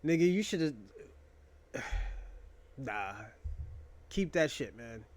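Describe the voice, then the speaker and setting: A man's voice: a little high, big pitch swings, slow pace, darkish tone. A young man hosting a casual monologue, rambling and joking in a crude, profane way. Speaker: podcast host.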